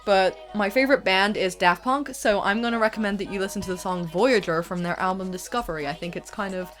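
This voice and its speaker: Woman, medium pitch, casual Q&A chat, chatty and informal, faint music behind. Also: a little fast. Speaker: co-creator of an online abridged series, answering fan questions. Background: background music.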